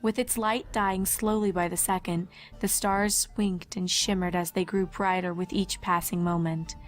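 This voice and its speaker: Woman narrating a story over soft music. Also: fairly low pitch, level tone, moderate pace.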